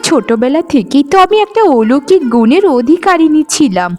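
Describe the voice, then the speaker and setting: A woman's voice: sits high, very animated, brisk, a little mellow, soft background music. A woman playing a sweet, lonely and innocent young forest maiden in a fairytale cartoon, speaking earnestly and politely. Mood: tender, musing. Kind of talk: Bengali fairytale cartoon dialogue.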